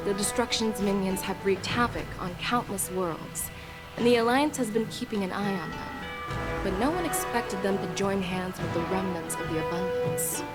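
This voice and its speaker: A woman's voice: medium pitch, close to an everyday voice. Woman acting assured commanding female general, music behind. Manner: assured, formal, probing. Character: confident, commanding female general, shrewdly probing interrogator. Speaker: video game character. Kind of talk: game voice acting. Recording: game music playing behind.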